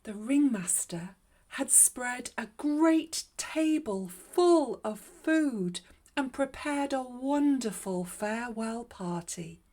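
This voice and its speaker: A woman reading a children's story aloud in a measured, expressive way. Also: on the high side. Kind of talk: scripted storybook narration.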